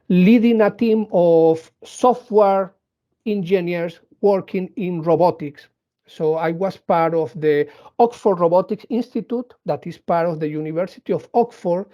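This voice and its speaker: A man's voice: high-pitched, highs cut off. A man from Venezuela and Colombia, a native Spanish speaker with a Latin American Spanish accent, giving an educational talk to school pupils as a robotics engineer, hesitant with many fillers and restarts.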